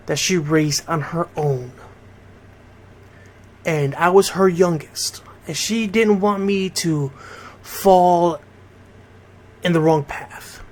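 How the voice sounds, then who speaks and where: A middle-aged American man from Connecticut hosting a radio show, talking informally and directly to his listeners. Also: fairly high pitch. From Bridgeport, Connecticut, United States. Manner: conversational, addresses listeners directly. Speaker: radio host and DJ.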